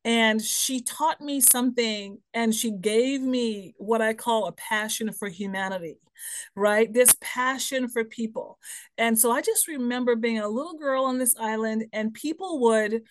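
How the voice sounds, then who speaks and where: A woman speaks as a podcast guest with a slightly raised, lively voice. Her stories run long and keep checking in with the listener. The sound is dry and close, with no room echo. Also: on the high side, unhurried. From Bahamas. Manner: lively storyteller, frequent "right?" and "you know". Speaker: guest, human potential expert. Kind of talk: podcast interview, personal storytelling.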